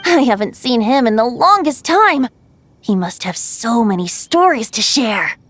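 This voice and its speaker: A woman's voice: on the high side, sing-song, unhurried, no room sound. A woman voicing a youthful, breezy male bard in a video game, with a lilting, theatrical storyteller's manner. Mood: light, amused. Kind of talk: video game character dialogue.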